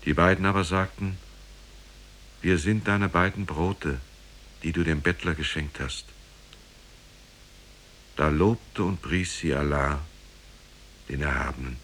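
An old sheikh recites a pious tale in an extremely low voice. He is solemn and reverent, in an old radio play with slight cassette hiss. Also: slow pace, pauses often, a bit bright, full character voice. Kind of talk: 1950s German radio drama storytelling.

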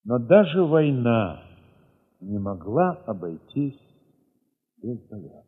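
A husky-voiced man narrates a literary audio drama with reflective, expressive phrasing and deliberate dramatic pauses. The recording is dull, with a long reverb trailing his words. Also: mid-range voice. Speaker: narrator of an audio play, reading in the first person. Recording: muffled, long reverberant hall sound.

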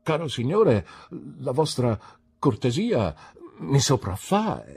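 A man voicing a gentle, simple-hearted older man who speaks haltingly. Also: fairly high pitch, very animated, creaky, breathy edge, pitched above the performer's own voice, heavily acted. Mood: flustered.

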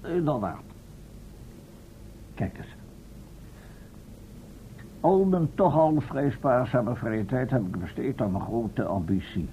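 An actor playing an eccentric, self-important professor and inventor in a Dutch radio serial, pompous and often hunting for words. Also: male voice, animated, takes it slowly, long pauses, warm tone. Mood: proudly secretive. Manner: pompous, hesitant, searching for words. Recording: muffled phone-like old radio recording, rumbling noise.

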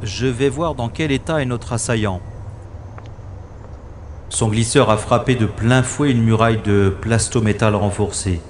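A man's voice: mid-range voice, measured pace, slight background noise, close to an everyday voice. A man playing a cool-headed, sardonic special agent in a French-style science-fiction audio drama. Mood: teasing, composed. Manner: sardonic, flippant, unflappable.

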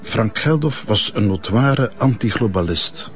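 An actor with a Flemish Belgian accent playing an evasive, self-important government minister in a radio drama, guarded and insistent. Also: male voice, on the low side, expressive, a little slow, muffled recording, faint music behind. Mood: impatient, guarded. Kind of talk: naturalistic radio drama dialogue.

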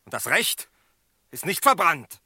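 An actor playing a stern, stubborn cowboy in an old radio play, declaiming solemnly and insistently. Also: male voice, on the high side, sing-song, measured pace, sharp tone, husky, dry recording, clean studio sound, heavily acted. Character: Stern, stubborn cowboy demanding his own death sentence. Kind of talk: mid-century German radio play acting.

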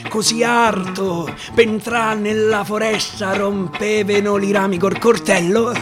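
A man with a Roman accent performing dramatic dialect verse storytelling, with deliberate repetitions and pauses, over guitar. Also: high-pitched, flat delivery. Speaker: actor and storyteller. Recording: live guitar behind the voice.

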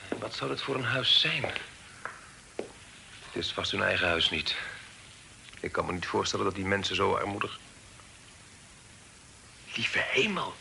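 Low-pitched, creaky, uneasy young Dutchman. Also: full character voice. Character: courteous, uneasy young gentleman, suspicious and hesitant guest. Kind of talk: Dutch radio play acting. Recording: noisy old radio play recording.

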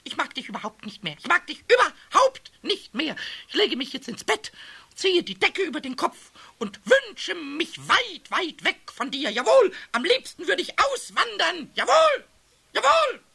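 Cheeky little kobold, very high scratchy squeal, swooping and defiant, for a children's radio play. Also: male voice, sing-song, measured pace, creaky, sharp tone, exaggerated voice. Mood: sulky.

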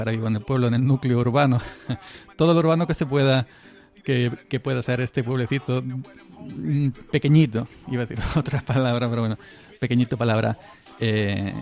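A man's voice: medium pitch, a little mellow. A man in his forties from southern Spain, hosting a casual live stream and chatting to listeners in a rambling way, often repeating words and chuckling. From Granada, Andalusia, Spain. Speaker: podcast host on a live stream. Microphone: desk mic through an audio interface. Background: faint background music.

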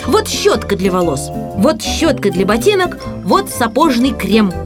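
A woman's voice: on the high side, sing-song, a little slow, music in the background. Lady doing a kindly but fussy male art teacher in a kids audio story, lots of up and down, telling you what to do. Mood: kindly, instructing. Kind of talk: children's audio play acting.

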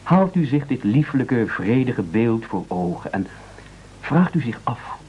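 A man's voice: mid-range voice, sing-song, measured pace, darkish tone, breathy edge. An actor playing a timid, self-pitying family man in a satirical radio play, pleading in a hesitant, oratorical way. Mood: pleading, sentimental. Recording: dull old radio recording.

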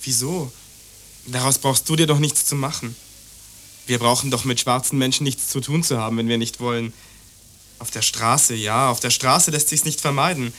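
A man's voice: medium pitch, fairly even intonation, normal speed, pushed and bright, natural voice. Actor as a condescending young man. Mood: blithely dismissive. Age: young adult. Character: young man, obtuse, prejudiced, patronising lover. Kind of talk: German radio play dialogue.

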